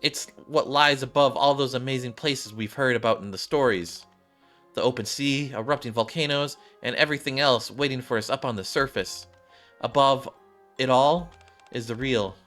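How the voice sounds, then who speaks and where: A wistful, earnest young girl from a video game, voiced by a man. The pitch lifts a little, the tone is bright, and music sits behind. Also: normal speed, lightly acted. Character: earnest, dreamy young girl longing for the sky.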